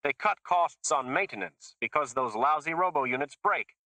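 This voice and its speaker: A man's voice: on the high side, expressive, normal speed, sharp tone, no room sound, pitched above the performer's own voice, rough recording. Brief: narrator playing a rattled male driver, raised and strained, pleading then griping. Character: panicky, bitter male air car driver.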